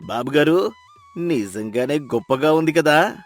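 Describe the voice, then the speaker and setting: A man's voice: a little high, lively intonation, measured pace, faint music behind, voice pushed up. A man voicing a wily young peasant son who talks in a coaxing, deferential way in a moral story. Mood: flattering.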